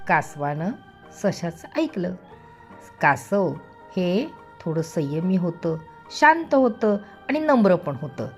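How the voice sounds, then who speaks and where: A woman's voice: lowish voice, sing-song, takes it slowly. A woman telling a story to young children expressively, drawing out and repeating words for emphasis, with music behind her. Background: background music.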